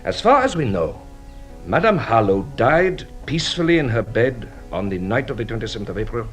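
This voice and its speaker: Guy playing detective in a radio play, super animated pitch, polite but teasing and sly, bit of music. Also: lightly acted. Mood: probing. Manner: courtly, teasing, deliberate questioning. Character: theatrical, playfully sly French police inspector. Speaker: actor in a radio mystery drama. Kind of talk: British radio drama acting.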